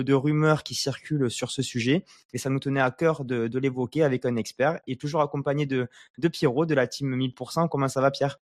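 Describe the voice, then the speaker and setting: A man with a mid-pitched voice hosts a podcast. He talks conversationally, and the sound is dry, with hardly any room around it. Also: dry recording. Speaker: podcast host.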